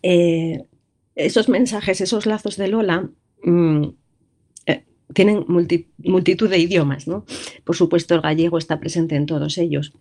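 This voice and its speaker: A woman who is the voice-over of a radio show, speaking in a tribute with a broken, trembling, tearful voice, halting and restarting with small nervous laughs. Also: lowish voice, steady tone, mellow. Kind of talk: emotional tribute on live radio.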